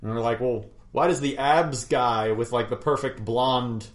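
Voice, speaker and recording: A man's voice: medium pitch, measured pace. A young man casually telling stories about a past tabletop game, with lots of fillers and chuckles. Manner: rambling, chuckling, many fillers. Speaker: podcast co-host. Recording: roomy, echoey room.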